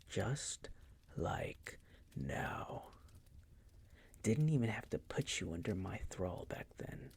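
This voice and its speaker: A man playing a seductive, possessive vampire master in an intimate roleplay audio, teasing and coaxing in a breathy, husky voice that often drops to a whisper. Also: lowish voice, heavily acted. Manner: slow, teasing, condescending coaxing.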